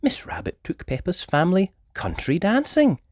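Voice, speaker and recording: A woman reading a children's story aloud in an animated storytelling style. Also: fairly low pitch, normal speed, no echo, clean studio sound.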